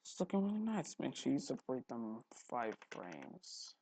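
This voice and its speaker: A man hosting a casual, unscripted screen tutorial, haltingly repeating words and muttering to himself, recorded on a headphone mic at his neck. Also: medium pitch, wildly swooping pitch, vocal fry, darkish tone, breathy edge. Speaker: tutorial host. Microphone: headphone mic held at the neck.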